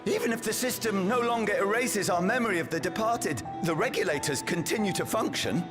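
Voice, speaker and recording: A man's voice: sits high, lively intonation, a little fast. A man acting as an anxious townsman in a video game, speaking earnestly in formal phrases. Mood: uneasy, hopeful. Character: anxious townsman hoping for a peaceful old age. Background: prominent background music.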